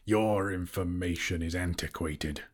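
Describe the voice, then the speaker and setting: A man voicing a huge old boastful dragon in a storybook, proud and menacing, with slow, gloating, grandiose delivery. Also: low voice, steady tone, a bit bright, exaggerated voice. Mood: snapping. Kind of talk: audiobook character voice.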